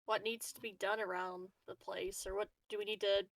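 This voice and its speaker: A woman's voice: medium pitch, little pitch movement, moderate pace. A woman with a mid-range voice talks informally as one of the players in a tabletop roleplaying game. Her voice is captured close, with no room echo. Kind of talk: casual game chat, profane.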